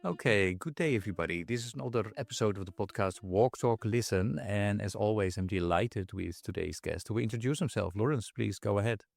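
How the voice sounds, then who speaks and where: A man's voice: on the low side, brisk, vocal fry, few pauses. A middle-aged man hosting a podcast interview, easygoing and chatty, with frequent "you know". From Netherlands. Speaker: podcast host.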